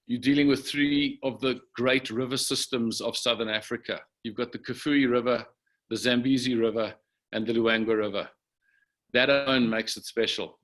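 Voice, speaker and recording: A man with a medium-pitched voice talks as a safari operator on a panel. He is measured and reflective, putting his thoughts in short, unscripted phrases. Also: fairly bright tone. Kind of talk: unscripted panel talk about wildlife destinations.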